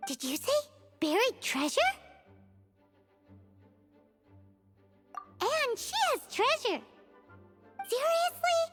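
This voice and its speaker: A woman voicing a small, excitable, childlike fairy companion in a video game, bold and exclamatory. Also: very high voice, full character voice. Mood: surprised, indignant. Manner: refers to self in third person, exclamatory. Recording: music behind the voice.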